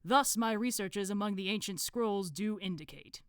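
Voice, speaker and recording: A woman voicing a pompous, scheming male grand master of a secret order in archaic, drawn-out phrases, in a storybook reading. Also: medium pitch, smooth, dry recording, clean studio sound, voice pushed up, lightly acted. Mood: solemn, stately.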